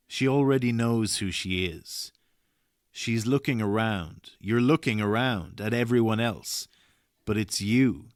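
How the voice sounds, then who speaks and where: An actor playing a calmly menacing man giving deliberate, commanding instructions in a scripted message. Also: medium pitch, no room sound, studio quality, lightly acted. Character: calmly menacing man addressing a chosen audience member. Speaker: announcer of a podcast episode, then speaker of a recorded message. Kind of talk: scripted narration.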